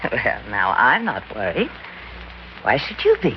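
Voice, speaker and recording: Sly, teasing radio-drama schemer; lively delivery, hissy old broadcast. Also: female voice, very deep voice, measured pace, heavily acted. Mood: coy. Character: scheming woman luring a young man into a trap. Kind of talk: 1940s-50s radio drama acting. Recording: muffled vintage radio recording with hiss.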